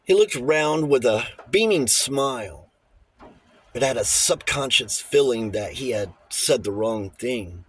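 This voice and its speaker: A man's voice: mid-range voice, lively intonation, slow pace, slightly rough. A man reading a novel aloud as an audiobook narrator. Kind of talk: scripted novel narration with character voices.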